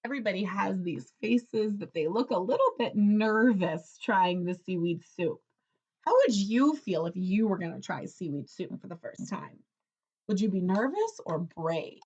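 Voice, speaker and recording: A woman's voice: mid-range voice, expressive, unhurried, a little mellow, breathy edge, dull audio. A woman teacher reading a picture book aloud expressively, explaining simply and putting questions to young listeners.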